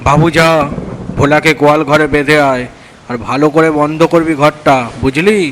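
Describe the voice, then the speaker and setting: A man's voice: fairly high pitch, steady tone, normal speed, noisy background, close to an everyday voice. A man playing a hardworking village father and woodcutter in a cartoon, talking in short, instructing phrases. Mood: urgent, instructing. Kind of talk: cartoon dialogue. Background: background music.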